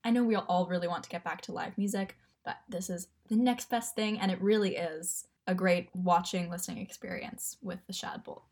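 A woman's voice: on the low side, steady tone, normal speed, few pauses, darkish tone. A woman giving a casual spoken arts review on the radio.

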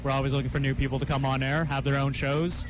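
A young man hosting a live campus radio interview, chatty and quick with asides and laughs. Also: medium pitch, level tone, background noise. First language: Cantonese.

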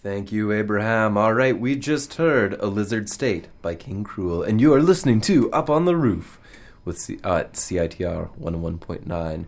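A man's voice: on the low side, lively intonation, normal speed, darkish tone. A man hosting a live radio show in a casual, slightly stumbling way. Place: radio studio.

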